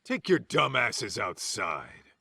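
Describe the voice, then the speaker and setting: An actor with an American accent playing a gruff, laid-back middle-aged ex-yakuza, blunt and slangy, in a game dub. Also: male voice, fairly low pitch, huge pitch swings, slow, sharp tone, dry recording, full character voice. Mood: commanding. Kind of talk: English video game dub acting. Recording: music behind the voice. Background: game music.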